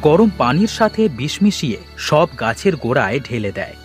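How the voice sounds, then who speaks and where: A man's voice: medium pitch, big pitch swings, fairly quick. A man reading scripted folktale narration as a storyteller, with music behind him.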